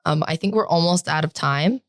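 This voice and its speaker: A teenage girl co-hosting a podcast in casual chat, talking loudly and rambling with lots of "like" fillers. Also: fairly low pitch, a little fast, dry recording, studio quality. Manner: loud, projecting, rambling with many "like" fillers. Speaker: podcast co-host.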